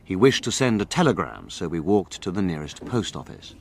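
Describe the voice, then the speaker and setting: A deep-voiced gentleman narrator in a radio drama. He tells the story in measured phrases with expressive rise and fall. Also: creaky, slightly rough, exaggerated voice. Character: gentleman narrator recounting a detective's adventure, measured. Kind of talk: dramatic first-person narration.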